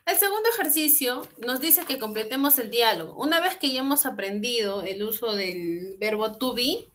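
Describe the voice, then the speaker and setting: A woman's voice: a little high, animated, normal speed, fairly bright tone. A young woman teaching English in an online class, explaining exercises patiently and switching between Spanish and English, heard through a laptop on a video call from a small room at home. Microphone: laptop webcam on a video call.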